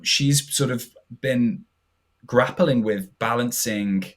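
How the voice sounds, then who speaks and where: A man's voice: medium pitch, slow pace. A man, co-hosting a podcast and explaining things in a chatty way with hesitant fillers. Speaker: podcast co-host. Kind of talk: casual podcast commentary.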